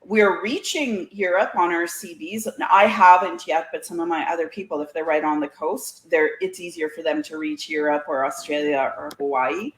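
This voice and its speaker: A Canadian woman with a slightly low voice talks in a casual, chatty way, as a guest telling anecdotes would. The sound is roomy and echoey. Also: fairly even intonation, moderate pace, few pauses. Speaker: guest, CB radio community organiser. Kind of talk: casual chat.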